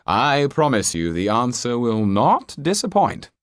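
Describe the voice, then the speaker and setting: Male narrator as grand showman, theatrical flourishes, swooping intonation, drawn-out words, storybook. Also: mid-range voice, big pitch swings, clear, resonant tone, dry recording, studio quality, voice pushed up. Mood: confident. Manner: proclaiming, theatrical. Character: flamboyant stage magician, showman with grand flourishes.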